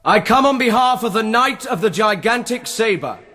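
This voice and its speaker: An actor playing a bold, defiant herald who declaims formally in a gothic radio drama with a reverberant hall sound. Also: male voice, high voice, lively intonation, moderate pace, pushed and bright, full character voice. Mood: defiant proclamation. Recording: reverberant castle-hall effect.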